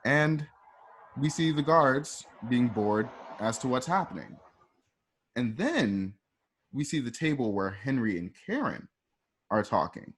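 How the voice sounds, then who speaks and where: A man's voice: mid-range voice, big pitch swings, measured pace, fairly bright tone, husky. Conversational man narrating drama scenes. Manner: conversational, storytelling. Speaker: narrator reading stage directions.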